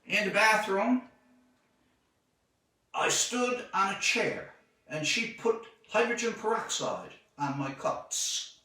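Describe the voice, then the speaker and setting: A man reads a short story in a fairly high, bright voice that rises and falls dramatically. He takes his time, leaning on phrases with deliberate pauses. The sound is roomy and echoing. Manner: measured, deliberate phrasing with expressive emphasis. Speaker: author reading own short story. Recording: echoey room, distant microphone.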